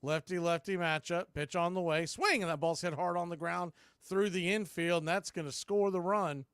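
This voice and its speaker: A man calling a baseball game live as a play-by-play announcer, mixing clipped pitch calls with folksy, casual asides. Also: steady tone, normal speed, fairly bright tone, clean tone, no echo, clean studio sound. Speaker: baseball play-by-play announcer for a fan radio stream.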